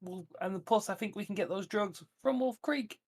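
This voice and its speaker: A man's voice: high voice, normal speed, a little mellow, slightly rough. A man talking casually as a player in a tabletop roleplaying game. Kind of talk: casual chat.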